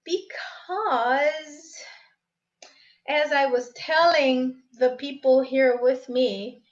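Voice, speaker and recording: A woman from Brazil who is not a native English speaker, an English teacher hosting a live-streamed exam lesson and chatting directly with viewers. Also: high-pitched. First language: Portuguese. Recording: slightly dull live-stream audio.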